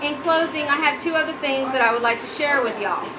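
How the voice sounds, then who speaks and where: A woman giving a humorous prepared speech as a student, with a nervous, giggly delivery. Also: brisk. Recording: muffled low-quality audio, noticeable background noise.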